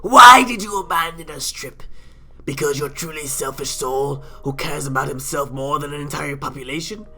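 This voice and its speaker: A man's voice: a little high, moderate pace, crowd noise, close to an everyday voice. A man with a stuffy cold voicing a grieving, vengeful female dog assistant who sobs and pleads. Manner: sobbing, anguished pleading.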